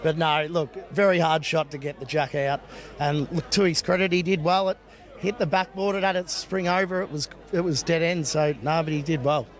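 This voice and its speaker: Upbeat Australian bowls skipper. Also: male voice, fairly high pitch, fairly even intonation, normal speed. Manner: upbeat, casual, says "look" and "mate". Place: outdoor bowling green.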